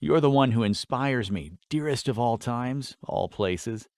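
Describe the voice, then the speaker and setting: A man's voice: medium pitch, animated, measured pace, a little mellow, no room sound, clean studio sound. Man voicing a hearty, jovial fantasy father. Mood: adoring. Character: jovial, adoring father, playfully gallant husband. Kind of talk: fantasy audiobook character dialogue.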